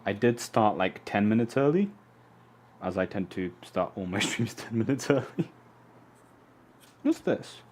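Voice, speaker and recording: A man's voice: on the low side, expressive, a little slow, long pauses. A man from Poland hosting a casual live stream, chatting in a rambling way and humming to himself as he works. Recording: loud background noise.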